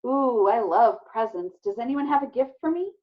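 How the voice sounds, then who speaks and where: A woman's voice: a little high, lively intonation, normal speed, pitched above the performer's own voice, lightly acted. A woman voicing a silly, cheerful, playful boy in a children's storybook read-aloud. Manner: playful, exclaiming, hooting. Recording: muffled, lo-fi recording.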